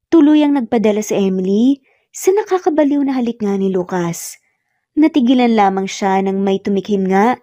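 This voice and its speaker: A woman's voice: on the high side, mellow, no room sound. A woman with a Filipino accent narrating a scripted romance story. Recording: slightly muffled, music bed underneath. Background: background music.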